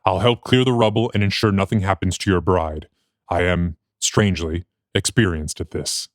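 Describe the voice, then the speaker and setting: An actor playing a grim, noble patchwork monster in an audio drama, with a stately, archaic way of talking. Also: male voice, low voice, fairly even intonation, normal speed, no room sound, clean studio sound, natural voice. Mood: solemn.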